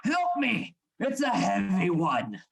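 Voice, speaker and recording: A man acts out a domineering anatomy assistant in a Victorian horror radio play. His voice is rough and husky, with big swings in pitch. He speaks in formal, theatrical commands, scheming and controlling. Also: wildly swooping pitch, slow pace, a bit bright, exaggerated voice. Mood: urgent. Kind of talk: live radio play acting.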